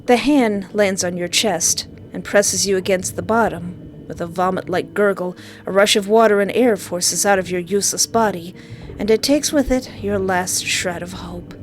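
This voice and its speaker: A woman's voice: medium pitch, normal speed, a little hiss or hum. A woman reading a visual novel aloud and breaking in with sarcastic jokes and squeals of mock panic. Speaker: let's play gamer.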